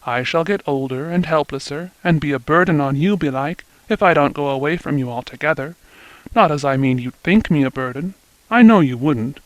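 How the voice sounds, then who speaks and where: Old rustic father, slow, fond, country dialect, lower voice, dry sound, as a male reader puts him on. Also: dry recording, highs cut off, close to an everyday voice. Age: older.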